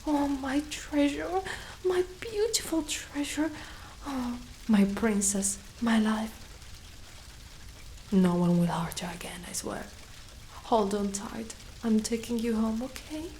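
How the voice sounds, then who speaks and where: A woman voicing a proud, fiercely protective female dragon knight in an ASMR roleplay, speaking deliberately and often breathy or whispered. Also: on the high side, a little mellow, a little hiss or hum, poor audio. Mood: tender relief. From Italy.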